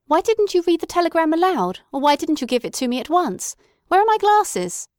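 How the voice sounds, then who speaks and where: An impatient middle-aged mother talks in a brisk, fussy, faintly scornful way, played by a woman reading aloud. Her voice sits somewhat raised and firm. The sound is dry and close. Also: on the high side, sing-song, no room sound, voice pushed up.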